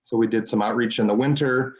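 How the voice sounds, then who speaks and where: A man with a deep voice giving a brisk citizens council report at a city meeting. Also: muffled recording.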